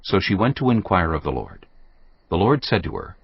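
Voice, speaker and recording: A man reading scripted narration for a dramatized audio Bible in a measured, storytelling manner. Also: low-pitched, wildly swooping pitch, creaky, husky. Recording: dull audio, faint music.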